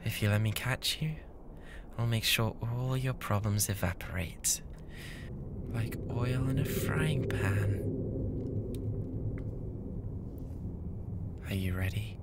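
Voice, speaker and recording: Man acting slow-talking, obsessive British yandere classmate. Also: lowish voice, gravelly, heavy background noise. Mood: creepy, intimate. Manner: menacing, intimate, slow and theatrical. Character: obsessive, unhinged, bratty young male yandere classmate. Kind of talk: ASMR roleplay monologue.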